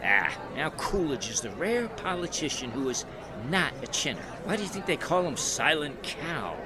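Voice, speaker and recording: A man's voice: a little high, very animated, normal speed. A man playing a chatty, witty fellow on a night out in a 1920s period drama. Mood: wry, playful. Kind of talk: period radio drama acting. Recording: dance-hall music and crowd behind.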